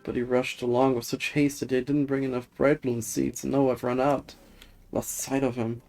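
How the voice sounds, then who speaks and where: A man's voice: medium pitch, level tone, moderate pace, creaky, darkish tone, natural voice. A man voicing a busy, grumbly but helpful survey worker from a fantasy game, reading the dialogue aloud in a matter-of-fact way. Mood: polite, explaining.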